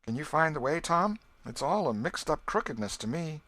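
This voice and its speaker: Man voicing an anxious little girl, pitched up. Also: big pitch swings, fairly quick, fairly bright tone. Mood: fearful. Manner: timid, apprehensive, pleading. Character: anxious young girl lost in a cave.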